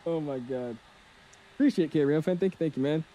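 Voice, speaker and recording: Male streamer, casual and excitable, warm-toned voice. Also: fairly high pitch, a little fast, lo-fi sound. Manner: joking, excitable. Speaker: flight sim streamer. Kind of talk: casual chat while flying.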